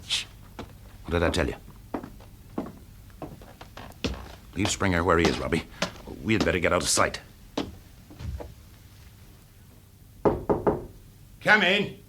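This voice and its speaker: An actor with an English accent playing a shrewd, genial, commanding fire investigator in a classic radio drama, polite but probing. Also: male voice, low-pitched, huge pitch swings, fast talker, noisy background. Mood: urgent, commanding. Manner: polite, probing, confidently in charge.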